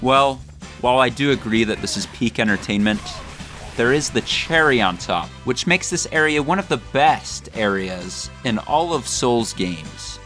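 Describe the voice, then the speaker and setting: A man's voice: mid-range voice, expressive, a little slow, fairly bright tone, soft background music. A man delivering scripted satirical video commentary in a mock-serious way, with exaggerated theatrical emphasis. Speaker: video creator and narrator.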